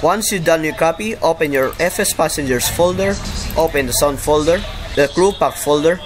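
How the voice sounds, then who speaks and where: A man with a Filipino accent narrating a software tutorial in an informal way. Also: a little high. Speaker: tutorial presenter. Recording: clearly noisy home voice-over recording.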